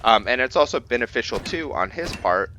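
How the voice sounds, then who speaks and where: A man giving casual gameplay commentary and explaining character lore, haltingly thinking aloud as he goes. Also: medium pitch, animated, bright, forceful tone, slight background noise. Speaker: gameplay host and role-player.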